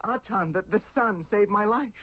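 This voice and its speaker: A man's voice: high voice, normal speed, breathy edge, no echo. A man playing an idealistic, fervent young pharaoh in a 1970s radio drama, speaking in an earnest, declamatory way. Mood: awed, weary. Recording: tinny narrow-band old radio recording.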